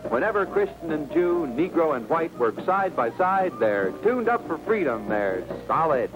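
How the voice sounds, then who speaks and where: A man's voice: a little high, big pitch swings, normal speed, poor audio. A man announcing a vintage radio public service message in rhythmic patter. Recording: old radio spot under loud music.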